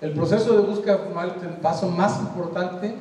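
A man's voice: high voice, steady tone, brisk, mellow, a little hiss or hum. An older man with a Mexican Spanish accent giving a conversational design lecture to an audience, often checking they follow. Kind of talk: conference lecture. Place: large hall with seated audience. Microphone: handheld mic. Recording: roomy hall echo from far off.

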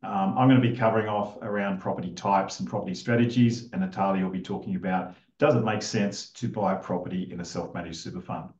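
A man's voice: low voice, steady tone, fast talker, few pauses, a little mellow. A middle-aged man hosting a webinar over a video call, introducing the guest and going through housekeeping in a chatty way. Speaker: webinar host. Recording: roomy, dull video call audio.

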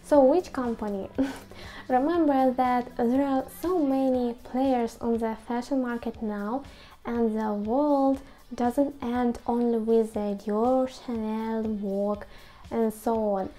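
A woman's voice: on the high side, fairly even intonation, slow pace, warm tone, poor audio. A woman in her twenties hosting a video, giving scripted advice to camera in non-native English phrasing. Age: 20s. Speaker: YouTube host. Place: small quiet room at home.

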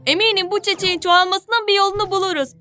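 A woman voicing an excitable, kind-hearted and cautious young sea creature in a children's cartoon, earnest and expressive. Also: extremely high pitch, fast talker, soft background music. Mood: earnest pleading.

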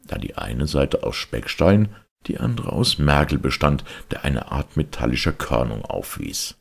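Very deep, gravelly male narrator. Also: a little mellow. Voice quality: gravelly, husky. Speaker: audiobook narrator. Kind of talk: literary audiobook reading, scripted narration.

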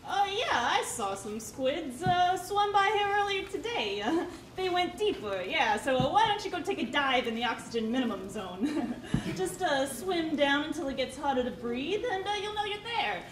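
A woman's voice: high voice, very animated, moderate pace. A woman voicing a sly, grumpy female anglerfish in a live puppet show, brash and sarcastic. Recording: roomy, distant, noisy theatre recording.

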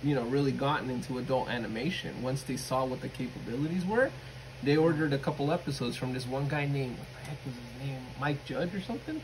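A man's voice: mid-range voice, quick pace, noisy background. A man co-hosting a comics review show in casual, joking chat, often chuckling as he talks.

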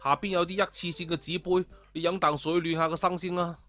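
Man voicing a high, nosy talking weasel. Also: flat delivery, fairly quick, bright, forceful tone, no echo, faint music behind. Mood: sympathetic. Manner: inquisitive, chatty. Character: talking weasel, nosy, kind-hearted and greedy.

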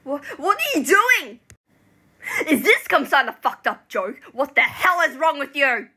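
A woman with an Australian accent voicing an outraged, hot-tempered teenage boy, shouting accusingly in a nasal voice for an amateur drama. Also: sits high, sing-song, unhurried, voice pushed up, exaggerated voice. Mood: furious, disbelieving. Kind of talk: amateur fan voice acting. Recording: noticeable background noise.

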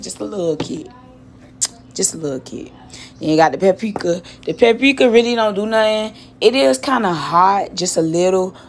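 Young woman cooking vlogger, lowish voice, slangy running chatter, phone on the kitchen counter. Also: a little mellow, slight background noise. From Miami. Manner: chatty, slangy, repeats words, talks while cooking. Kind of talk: casual cooking vlog chat. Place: home kitchen.

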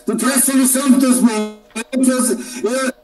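A man's voice: very high-pitched, steady tone, measured pace, music in the background. An older man giving a casual spoken reply as a panel speaker on a video call.

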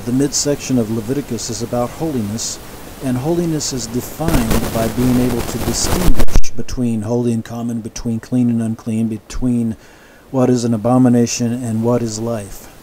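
A man giving a measured, explanatory Bible lecture, often restarting his sentences and adding asides. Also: mid-range voice, fairly even intonation, background noise. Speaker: Bible teacher. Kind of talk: sermon-style Bible lecture.

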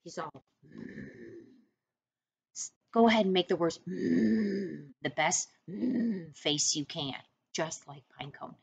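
A middle-aged American woman reading a picture book to young children as their teacher, expressively, with deliberate instructing asides. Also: on the low side, creaky, darkish tone, slightly rough, dull audio. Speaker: first-grade teacher. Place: small room indoors, seated at a desk.

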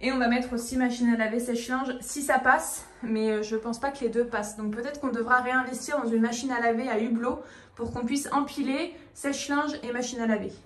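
A woman's voice: a little high, steady tone, brisk. A young woman, chatty and informal, giving a casual house tour as a vlogger filming on a handheld phone in empty rooms. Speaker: home renovation vlogger. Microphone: handheld phone or camera. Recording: echoey empty rooms, some background noise.

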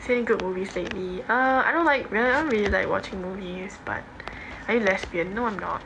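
A woman's voice: mid-range voice, fast, dull audio. A young adult woman with a Singaporean accent chatting casually on a livestream, reading and answering comments in an informal, offhand way. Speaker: livestream host. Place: home bedroom, indoors. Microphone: phone at arm's length. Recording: noisy phone recording in a room.

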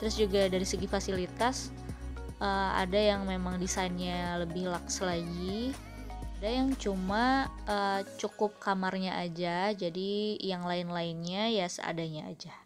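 A woman's voice: mid-range voice, fairly even intonation, a little slow. Chatty young woman vlogging on a boat. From Indonesia. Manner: chatty, upbeat, explains as she films. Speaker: travel vlogger. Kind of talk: casual travel vlog narration. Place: outdoors at a harbor and on a boat. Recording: music playing loudly behind.

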